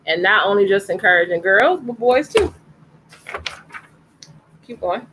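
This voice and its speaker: A woman's voice: mid-range voice, animated, unhurried, noisy background. A woman hosting an online story time, recapping each page in a casual, encouraging way. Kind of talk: casual read-aloud with commentary.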